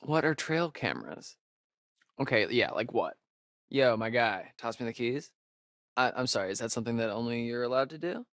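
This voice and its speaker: A man's voice: medium pitch, animated, a bit bright, dry recording. Wisecracking young American guy, dry recording. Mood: casual, curious. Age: young adult. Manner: casual, sarcastic, halting asides. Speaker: video game voice actor. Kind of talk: naturalistic video game dialogue.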